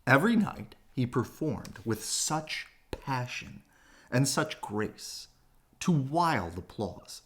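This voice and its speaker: A man reading a children's story aloud as expressive bedtime narration, with dramatic, drawn-out phrasing. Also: mid-range voice, a little hiss or hum.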